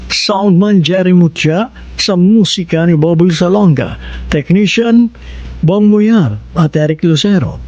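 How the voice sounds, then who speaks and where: A man hosting a radio drama program with formal, measured, expressive scripted narration. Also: high voice, no room sound. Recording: dry, dull band-limited radio sound.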